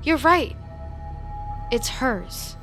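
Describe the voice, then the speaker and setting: A woman's voice: high-pitched, animated, drawn-out words, sharp tone, faint music behind, full character voice. An actress playing an awkward, clingy young woman in an audio drama, flustered and defensive, with rage simmering underneath. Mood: bitter. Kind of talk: audio drama acting, contemporary dialogue.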